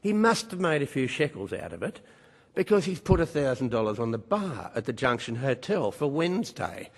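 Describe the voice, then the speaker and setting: A man's voice: medium pitch, very animated, moderate pace, husky. An older man gives a conference speech, emphatic and wry, full of rhetorical questions. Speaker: conference speaker. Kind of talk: public speech at a mining conference. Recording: reverberant conference hall.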